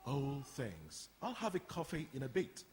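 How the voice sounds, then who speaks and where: A man's voice: mid-range voice, wildly swooping pitch, a little slow, a little mellow. An actor playing a courteous, practical community centre man who explains things politely in a radio play. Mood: upbeat, explanatory. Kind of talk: radio drama acting before an audience. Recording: reverberant hall, faint background noise.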